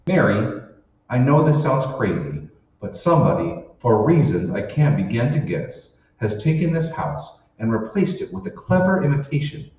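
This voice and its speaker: A man's voice: mid-range voice, very animated, moderate pace, darkish tone. A man playing a troubled, suspicious husband in an old-time radio drama, convinced his world is fake. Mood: agitated. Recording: echoey hall, distant mic, dull, faint noise.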